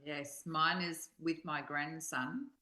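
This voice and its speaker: A woman's voice: low voice, steady tone, slow, noisy background. An older woman in a reflective, personal conversation as a study partner.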